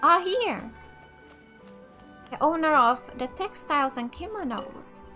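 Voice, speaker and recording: A woman from Finland comments casually on a video game and reads out dialogue. Her voice is high and bright. She takes her time, with faint music behind. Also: animated, takes it slowly. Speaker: gaming YouTuber. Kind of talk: casual let's play commentary, reading game dialogue aloud.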